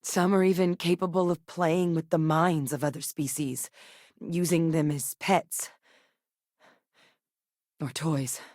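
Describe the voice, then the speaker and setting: An actress playing a composed, frank military scientist in a space video game, speaking in a measured, articulate way. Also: female voice, low voice, fairly even intonation, a little mellow, no room sound, clean studio sound. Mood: composed, serious. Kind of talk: acted video game dialogue.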